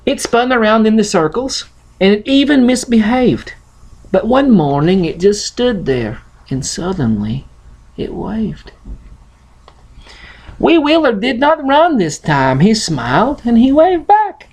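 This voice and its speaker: Russian-accented man reading slowly, with swooping intonation. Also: sits high, very animated, a little mellow. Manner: non-native grammar, halting, playful delivery. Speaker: host reading a picture book aloud. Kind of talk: storybook reading and casual chat to camera.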